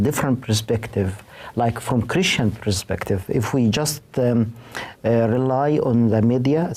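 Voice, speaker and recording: A man with an Egyptian accent, answering interview questions in an earnest, halting way. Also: medium pitch, warm tone. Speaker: director of a TV station's Arabic department.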